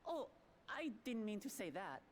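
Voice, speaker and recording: A woman's voice: medium pitch, very animated, moderate pace, slight background noise, lightly acted. A woman playing a confident, cheerful, candid young princess in a video game, speaking in an articulate, breezy way. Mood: explaining, candid. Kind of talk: video game cutscene voice acting.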